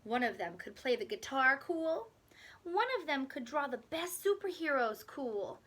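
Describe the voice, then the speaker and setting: A woman reading a children's picture book aloud like a teacher, expressive and deliberate, with dramatic pauses. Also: high voice.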